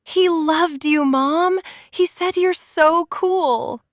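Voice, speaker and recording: A woman's voice: very high voice, drawn-out words, dry recording, clean studio sound, voice pushed up, full character voice. A woman voicing a bubbly, smitten nineteen-year-old daughter, gushing and eager in a told story.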